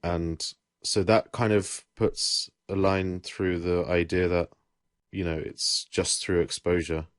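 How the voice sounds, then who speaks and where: Young English guy, deep voice, laid-back rambling storyteller, dry mic. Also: takes it slowly, dry recording. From England. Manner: relaxed, rambling, with self-corrections. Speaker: podcast host. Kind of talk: casual chat.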